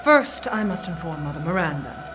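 A woman's voice: fairly low pitch, lively intonation, normal speed, background noise, lightly acted. A woman playing a haughty, towering aristocratic vampire matriarch in a video game, with theatrical, condescending diction. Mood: menacing, gloating. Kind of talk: video game cutscene acting.

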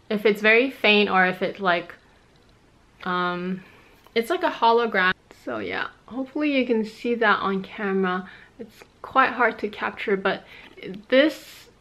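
A woman's voice: medium pitch, fairly bright tone. Native Cantonese woman chatting to camera. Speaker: fashion vlog host answering viewer questions. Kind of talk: casual chat to camera. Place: small room at home, indoors.

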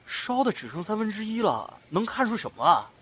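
A male actor plays an inquisitive young sidekick in an audio drama. The voice is high for a man and swings widely in pitch, over faint background noise. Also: big pitch swings, fairly quick, a bit bright. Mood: puzzled. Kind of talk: Chinese audio drama acting.

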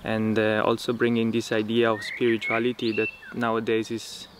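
A man's voice: fairly low pitch, moderate pace. Young man talking outdoors among birds. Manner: relaxed, thoughtful, with frequent fillers. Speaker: permaculture teacher. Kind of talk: casual interview talk. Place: outdoors in a dry rural field. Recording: outdoor countryside noise. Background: birds and insects in the countryside.

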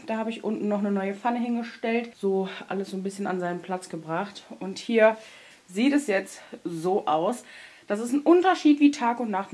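A woman's voice: medium pitch, darkish tone. A young woman vlogging as she tidies a small storage room at home, explaining each step in a chatty way and laughing often, recorded by a wide-angle camera on a stand. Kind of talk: casual clean-with-me narration.

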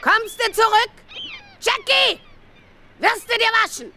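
An actress playing a coarse, scolding working-class mother in a radio play, with ungrammatical speech and a shrill, scratchy voice. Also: expressive, slow, full character voice. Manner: shouting, ungrammatical, uneducated speech.

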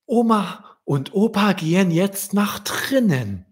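Man putting on a high-voiced kindly old ladybug grandmother. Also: lively intonation, slow. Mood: warm, praising.

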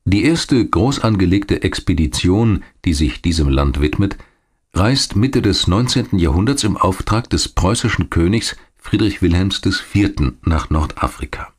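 Very deep-voiced male documentary narrator. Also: expressive, a little slow, breathy edge. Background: music.

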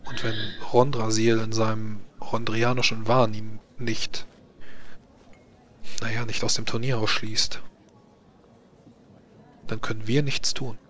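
A man playing a grave, worried court mage in a tabletop game, explaining things in a formal, halting, deliberate way. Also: fairly low pitch, little pitch movement, fairly bright tone. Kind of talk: tabletop roleplay in character.

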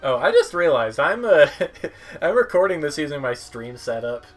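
A man doing casual game commentary as a streamer, halting as he goes and dropping wry asides. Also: on the high side, very animated. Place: small room at home, indoors. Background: game music.

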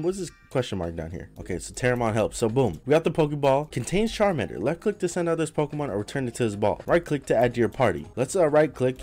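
A man's voice: mid-range voice, a little mellow. Casual young man streaming a game. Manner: casual, rambling, talks to chat while playing. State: recovering from lost voice. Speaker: gaming streamer. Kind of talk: casual livestream commentary.

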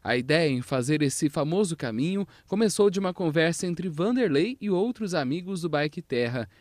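A man's voice: a little high, expressive, normal speed, a little mellow, dry recording, studio quality. A young man with a Brazilian accent, a TV reporter narrating a scripted news feature.

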